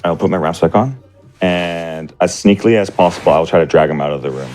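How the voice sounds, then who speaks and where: A man's voice: deep voice, fairly quick, darkish tone, faint music behind. A man playing a tabletop game, casually describing what his character does.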